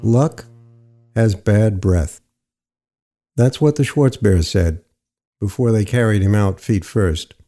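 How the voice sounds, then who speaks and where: Mellow male fiction narrator, measured delivery. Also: lowish voice. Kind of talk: literary audio fiction narration.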